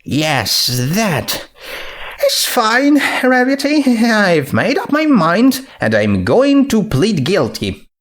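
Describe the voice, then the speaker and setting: A man's voice: high voice, huge pitch swings, takes it slowly, a bit bright, voice pushed up, heavily acted. A man voicing a bookish, awkward young female pony who speaks in an earnest, wordy way in a story reading. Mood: subdued. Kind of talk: fan fiction character reading.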